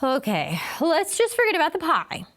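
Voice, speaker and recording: Patient, weary first-grade schoolmaster, played by a woman: measured storybook delivery, high and expressive pitch. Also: exaggerated voice. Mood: encouraging. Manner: measured, explanatory. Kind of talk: children's storybook read-aloud.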